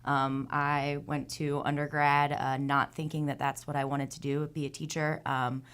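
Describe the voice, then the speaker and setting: Low-voiced woman high school teacher. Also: little pitch movement, a bit bright, clean tone. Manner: friendly, a bit quick, some fillers. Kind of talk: studio interview.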